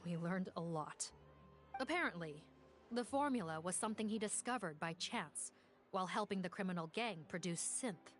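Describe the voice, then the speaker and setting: A woman playing a dutiful, respectful police officer in a video game, with measured, explanatory delivery. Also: lowish voice, animated, faint music behind, close to an everyday voice. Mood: businesslike.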